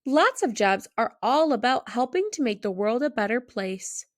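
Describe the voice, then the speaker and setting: A woman voicing a patient, encouraging father who gently explains things in a children's storybook. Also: fairly high pitch, animated, normal speed, no echo, clean studio sound, natural voice.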